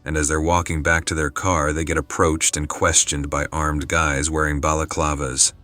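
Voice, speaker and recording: A man with a very deep, flat voice reads forum posts aloud. His tone is bright and a little sharp, with faint music under him. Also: normal speed. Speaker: narrator reading forum posts. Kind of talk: scripted narration.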